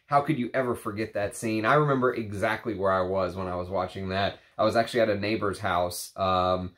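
Congested, chatty man with a low voice. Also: a little fast, a bit bright. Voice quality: slightly nasal. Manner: chatty, rambling, frequent fillers. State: congested from a cold. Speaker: perfume reviewer. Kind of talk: casual to-camera talk. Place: home room with shelves of perfume bottles.

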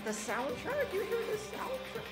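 A man chatting casually as co-host of a reaction video, excitable and slangy with frequent exclamations. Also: very high voice, unhurried, loud music behind the voice. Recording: game soundtrack playing behind.

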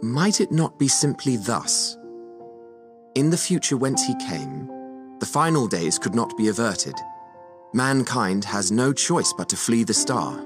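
A calm male scholar in a fantasy game, mid-pitched and courteous. He muses in formal, old-fashioned phrases, with loud music playing underneath. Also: a little slow. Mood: musing. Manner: formal, archaic phrasing, thoughtful. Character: calm, reflective robed man, courteous scholarly thinker. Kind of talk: scripted game cutscene acting.

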